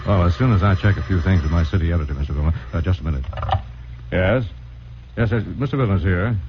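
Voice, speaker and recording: An actor playing a calm, kind newspaper editor who talks in a steady, reassuring way. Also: male voice, low voice, fast talker, close to an everyday voice. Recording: muffled old radio broadcast with faint hiss.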